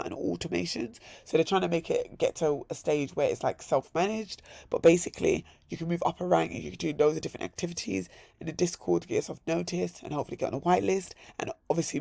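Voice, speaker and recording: Crypto vlogger, male, hoarse and gravelly, upbeat, repeats himself in threes. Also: a little high, darkish tone, dry recording. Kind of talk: casual screen-share walkthrough.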